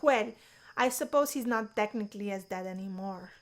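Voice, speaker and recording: A woman's voice: medium pitch, normal speed, lightly acted. A woman voicing a confident, teasing young college woman with a sly, theatrical manner in a visual novel. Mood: sly, dramatic.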